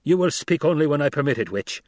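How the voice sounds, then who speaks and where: A male narrator plays a loyal warrior burning for revenge in an audiobook. He snarls his threats forcefully, pitched up and loud, in a very dry recording. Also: expressive, quick pace, no room sound, natural voice. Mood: menacing. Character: enraged, vengeful loyal warrior holding a hostage. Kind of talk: audiobook character acting.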